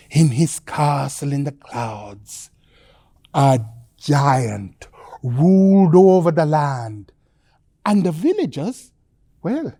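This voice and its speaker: A woman telling a children's bedtime story in a theatrical, deliberate way with dramatic pauses. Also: sits low, mellow, no echo, clean studio sound.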